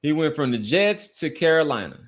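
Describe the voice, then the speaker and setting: A man's voice: a little high, sing-song, moderate pace. A man hosting a sports podcast, debating casually and repeating phrases for emphasis.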